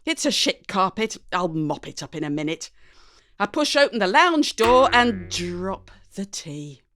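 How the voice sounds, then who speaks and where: Animated British woman reading a story. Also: mid-range voice, a little slow, no echo. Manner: expressive, wry, exasperated character narration. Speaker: story reader. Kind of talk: dramatic first-person scripted narration.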